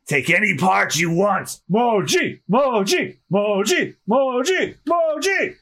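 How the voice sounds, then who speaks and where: A man performs a bombastic pirate captain in a high, swooping voice, as in a comic read-aloud. He is loud and theatrical, barking commands at his crew. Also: big pitch swings, normal speed, full character voice. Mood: gleeful.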